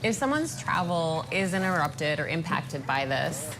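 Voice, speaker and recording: An American woman journalist putting questions in a news interview, measured and polite but probing. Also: low voice, big pitch swings, background noise.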